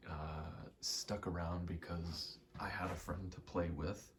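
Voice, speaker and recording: A man giving a rambling ASMR gaming commentary, halting and meandering as he thinks aloud while playing. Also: low-pitched, flat delivery, a little hiss or hum. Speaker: gaming video creator.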